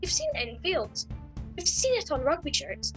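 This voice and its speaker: A woman's voice: sits high, big pitch swings, normal speed, soft background music. A woman playing an eager, dramatic news correspondent announcing in a scripted radio comedy.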